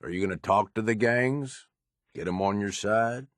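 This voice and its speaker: An actor voicing a gruff, streetwise raider adviser in a video game, with a husky voice and a blunt, coaxing, profane way of talking. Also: male voice, lowish voice, moderate pace, dry recording, studio quality, lightly acted. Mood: pressing, persuasive. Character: gruff, streetwise male raider right-hand man, pragmatic schemer. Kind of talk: video game character dialogue.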